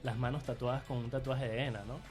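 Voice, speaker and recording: A male guest contributor with a Venezuelan accent chats casually in a mid-pitched voice. He hesitates and repeats words as he builds a point. Music plays faintly in the background. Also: level tone, fast, a little mellow. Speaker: guest contributor on identity and multiculturalism. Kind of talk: casual chat.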